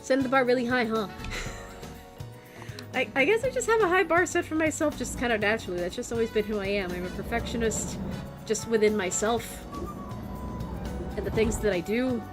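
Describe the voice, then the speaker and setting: A woman's voice: on the high side, expressive, brisk, long pauses, music in the background. An American woman with a strained, worn-out voice, chatting casually and rambling with lots of fillers while streaming a game. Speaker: vtuber streamer. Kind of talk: casual chat while gaming.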